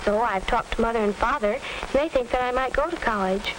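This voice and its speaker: A woman acting as an earnest American teenage girl in a 1940s educational film. Her voice is fairly high and her phrasing is polite and carefully scripted. Faint crackle colours the old soundtrack. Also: a little fast, a bit bright, natural voice. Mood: earnest, unsure.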